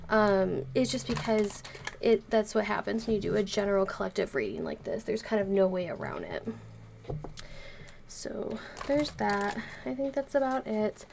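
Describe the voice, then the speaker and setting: A woman's voice: medium pitch, big pitch swings, normal speed, gravelly, a little mellow. Woman, stuffy nose, chatty tarot reader, rambling with fillers, faint hiss behind. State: congested, recovering from illness. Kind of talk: casual tarot reading to camera.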